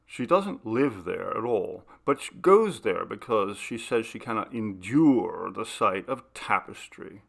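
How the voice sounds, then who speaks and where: A man reading aloud, voicing an amused, courteous young gentleman in a ghost story. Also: on the low side, lively intonation, a little slow, gravelly, few pauses, exaggerated voice. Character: young gentleman host, Paul, amused and mysterious.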